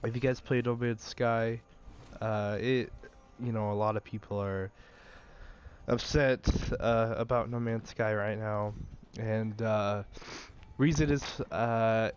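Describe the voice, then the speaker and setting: A man's voice: on the low side, fairly even intonation, takes it slowly, soft background music. A young man with a stuffy nose chatting casually about video games, rambling with many fillers and restarts. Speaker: gaming channel host. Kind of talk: casual gaming vlog chat.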